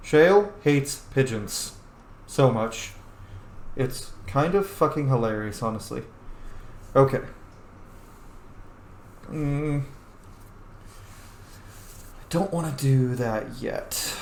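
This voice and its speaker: A man's voice: medium pitch, expressive, slow, pauses often. Casual male gamer in an echoey room. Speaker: gamer playing a role-play series. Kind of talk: casual in-character game commentary. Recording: roomy echo, faint background noise.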